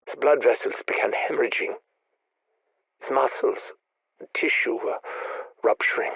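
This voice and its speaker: An actor playing a grieving, obsessive male scientist, speaking in a measured, brooding, confessional way in video game audio logs. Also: mid-range voice, creaky, a bit bright, breathy edge, dry recording, dull audio.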